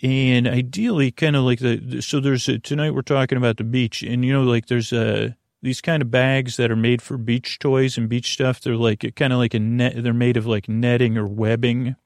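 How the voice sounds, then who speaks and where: A man hosting a sleep podcast in a meandering, halting monologue, with a creaky voice, often repeating himself and trailing off mid-thought. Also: medium pitch, steady tone, darkish tone, no room sound, clean studio sound. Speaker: podcast host.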